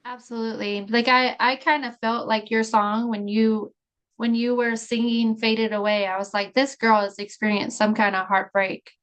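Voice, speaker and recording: A woman's voice: a little high, level tone, moderate pace, fairly bright tone, clean tone. A woman hosting a podcast, upbeat and encouraging as she talks with her guest. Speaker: podcast host. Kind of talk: casual interview chat.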